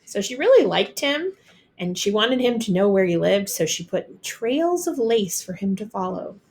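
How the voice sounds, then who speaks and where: A woman reading a children's story aloud as an expressive storyteller. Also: mid-range voice, normal speed. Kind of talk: expressive children's picture book narration.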